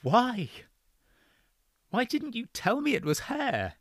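A man's voice: mid-range voice, big pitch swings, takes it slowly, no room sound, clean studio sound, voice pushed up. A man voicing an earnest adult son.

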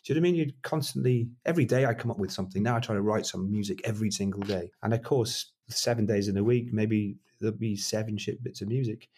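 Middle-aged male musician, husky voice, loose rambling interview talk. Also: fairly low pitch, fairly even intonation, fast talker, hardly any pauses, darkish tone. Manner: rambling, self-deprecating, frequent restarts. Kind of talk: casual interview chat.

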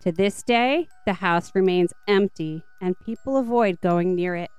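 A woman narrates scripted children's stories as a podcast host. Her voice is remarkably crisp and smooth, at a middling pitch. It is recorded very dry, with music underneath. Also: measured pace, darkish tone, no room sound. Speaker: podcast host and story reader. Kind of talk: scripted read-aloud storytelling for children.

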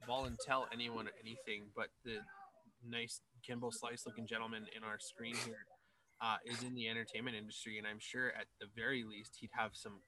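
A man's voice: medium pitch, little pitch movement, brisk, noisy background. A man hosting a casual interview, rambling and joking at himself. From Scotland. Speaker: host.

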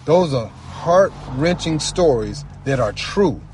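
A man talking casually and reflectively as a guest storyteller, earnest and halting, often repeating phrases for emphasis. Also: fairly high pitch, expressive, darkish tone, slight background noise. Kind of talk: casual, reflective personal talk.

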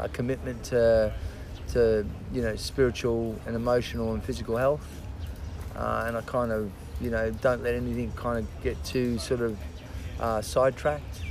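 A man chatting as a podcast guest, halting and full of restarts and fillers, swearing casually. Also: medium pitch, a little mellow, a little hiss or hum. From Australia. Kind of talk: casual interview chat.

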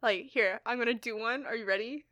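Young woman podcast co-host, high, bright, giggly, dry close mic. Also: a little fast, dry recording. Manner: giggly, laughs often, animated. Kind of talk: casual chat.

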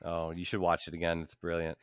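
A man's voice: sits low, completely flat delivery, fairly quick, smooth, no echo, studio quality. A man chatting casually as a podcast host in informal, slangy language. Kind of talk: casual chat.

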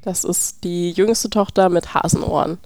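A woman's voice: on the low side, fairly even intonation, measured pace, a little mellow, no echo. Young woman chatting, very dry sound. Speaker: podcast co-host. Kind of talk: casual chat.

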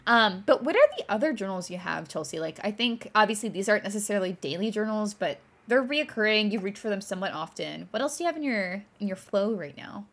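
A woman's voice: medium pitch, animated, fast talker, few pauses. A woman co-hosting a podcast, chatting casually in a bubbly way and laughing often. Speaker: podcast co-host. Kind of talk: casual chat.

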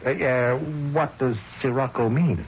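An actor playing a skeptical, exasperated police lieutenant in a radio mystery, stammering and sarcastic. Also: male voice, mid-range voice, a little slow, natural voice. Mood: suspicious. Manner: flustered, hesitant stammering, sarcastic. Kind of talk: old-time radio mystery drama acting. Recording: dull, noisy old radio broadcast recording.

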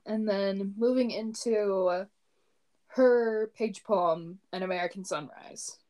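Soft-spoken young woman with a mellow voice. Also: medium pitch, slow pace. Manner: soft-spoken, frequent murmured agreement. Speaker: co-host discussing poetry. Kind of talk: casual chat.

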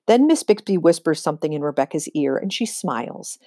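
A woman reads a children's novel aloud. Her voice is soft-edged and on the low side, with lively swings in pitch and emphatic phrasing. The sound is close and dry. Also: fairly quick, no echo. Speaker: read-aloud reader. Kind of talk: expressive read-aloud storytelling of a children's novel.

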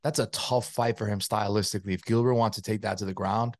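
A man's voice: lowish voice, steady tone, fast, a crowd in the background. A young man from Spain co-hosting a casual fight-recap chat over a video call, emphatic and swearing freely. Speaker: podcast co-host.

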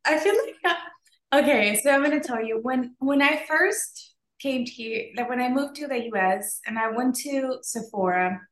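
A woman's voice: high voice, expressive, moderate pace, a bit bright. A woman in her thirties from Venezuela with a Venezuelan accent, answering interview questions in a chatty way full of "like" and "um". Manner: chatty, many fillers like "like" and "um". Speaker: beauty entrepreneur guest. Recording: echoey room.

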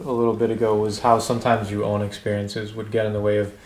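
A man's voice: on the low side, monotone, fast. Male interviewer in an echoey room. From Bangladeshi background. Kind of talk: casual, tentative conversational questioning. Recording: roomy echo.

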